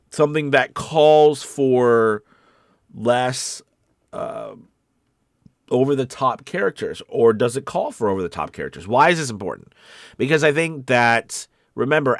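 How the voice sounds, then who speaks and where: A man's voice: medium pitch, dry recording. Sniffly, encouraging male voice coach, dry sound. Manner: encouraging, conversational, often checks in with "okay" and "right". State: sniffly with a cold or weather sniffles. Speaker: voice-over coach and live stream host. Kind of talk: casual live coaching talk.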